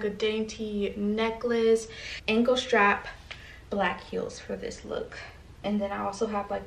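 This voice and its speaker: A young woman in her twenties chatting upbeat and casual as a fashion vlogger, talking to a camera across her bedroom. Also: medium pitch. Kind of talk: casual vlog chat. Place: bedroom at home, indoors. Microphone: camera across the room. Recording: roomy, from a distance, faint hiss.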